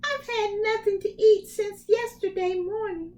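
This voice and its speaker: A woman reading a storybook, voicing a bright, eager young boy who exclaims and pleads politely. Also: very high-pitched, fairly even intonation, unhurried, pitched above the performer's own voice. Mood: pleading.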